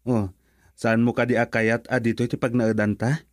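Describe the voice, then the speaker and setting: A man's voice: medium pitch, level tone, normal speed, dry recording, studio quality, close to an everyday voice. A man with a Filipino accent acting in a radio drama as an earnest son in love, eager to marry. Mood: affectionate, teasing. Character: adult son in love, eager to marry, reassuring his mother.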